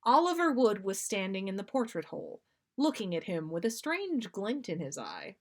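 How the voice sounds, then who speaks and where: A woman's voice: medium pitch, wildly swooping pitch, normal speed. A woman narrating a story aloud as a podcast host, with dramatic, playful expression. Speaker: podcast host reading listener stories.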